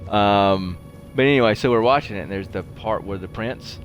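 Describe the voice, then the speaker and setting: A man's voice: moderate pace, faint music behind. A man with a head cold giving casual, folksy gameplay commentary and rambling off into nerdy anecdotes. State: head cold, developing laryngitis. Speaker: gameplay video host. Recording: clear low rumbling background noise.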